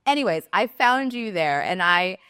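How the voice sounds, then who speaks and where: Podcast host, woman, slightly low voice, eager and effusive, dry close recording. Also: very animated, normal speed, fairly bright tone, clear, resonant tone, no room sound. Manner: enthusiastic, effusive. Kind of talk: casual interview chat.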